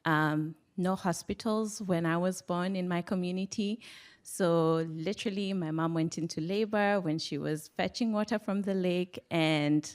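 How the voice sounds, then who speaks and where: A Kenyan-accented woman speaks on a panel in a fairly low voice. She tells her story in a measured way, pausing between phrases. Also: clear, resonant tone. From western Kenya.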